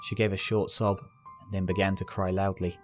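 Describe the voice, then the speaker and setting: A man reading a scripted fan fiction story as its narrator, with soft guitar music behind him. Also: low-pitched, flat delivery, brisk.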